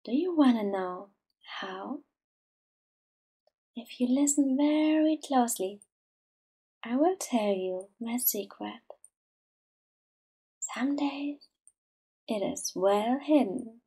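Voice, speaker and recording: Female meditation guide, higher-pitched but mellow, gentle and unhurried, soft to near-whisper. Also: animated, husky. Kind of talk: slow soothing guided meditation.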